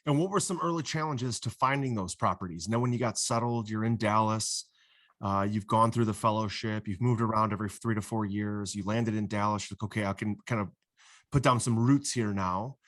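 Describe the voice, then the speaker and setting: A man's voice: fairly low pitch, a little fast, fairly bright tone, dry recording. A man hosting a podcast interview, upbeat and affirming, asking long multi-part questions. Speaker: podcast host. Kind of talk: casual interview chat.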